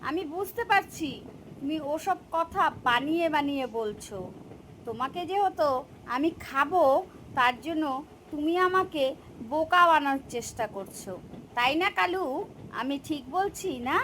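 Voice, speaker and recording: A woman voicing a hungry, gullible tiger in an animated children's story, bossy but easily scared, with drawn-out delivery. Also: high-pitched, slight background noise, rough recording. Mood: suspicious.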